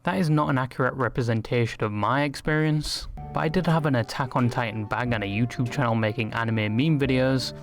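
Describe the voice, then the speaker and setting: A man's voice: medium pitch, fairly quick, hardly any pauses. A man delivering scripted comedic narration with crude, exaggerated storytelling, like a video essayist. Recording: music under the voice.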